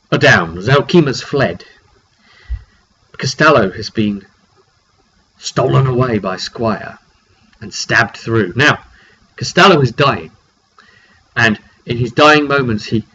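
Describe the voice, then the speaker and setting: A man with a mid-pitched voice and a cold tells the story of his tabletop game as its game master. He speaks casually in short, halting phrases and clears his throat now and then. The recording is muffled and lo-fi. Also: fairly bright tone. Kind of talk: casual recap storytelling to camera. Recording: dull lo-fi makeshift mic, clearly noisy.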